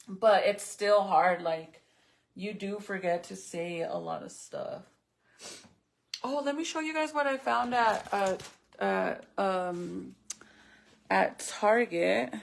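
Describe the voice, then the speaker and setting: A woman's voice: medium pitch, gravelly. A woman whose first language is Spanish, chatting casually and bluntly to her viewers while working on a craft project. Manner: blunt, profane, chatty, talks to viewers and commenters. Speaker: vlogger.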